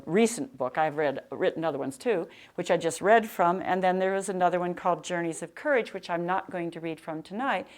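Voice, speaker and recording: An older American woman with a loud, projecting voice, giving an author's talk and reading in an emphatic storytelling manner. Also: lowish voice. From Nebraska, United States. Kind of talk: public talk with anecdotes and book reading. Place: live talk to a library audience in a room.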